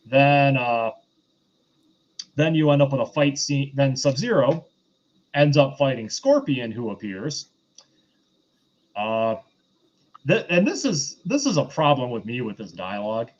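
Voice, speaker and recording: A man with an average-pitched voice hosts a casual review chat. He recaps in a halting way, backtracking as he goes. The sound is slightly dull and lo-fi. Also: very animated. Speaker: host of a film review show.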